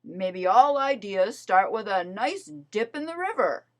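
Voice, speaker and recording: A woman voicing a kind, thoughtful male bear from a storybook in an unhurried, easygoing manner. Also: medium pitch, very animated. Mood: pondering.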